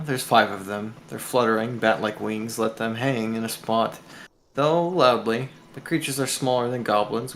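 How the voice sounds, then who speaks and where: A man's voice: medium pitch, fairly bright tone, a little hiss or hum. A man co-streaming a story game, giving casual replies and reading the narration aloud.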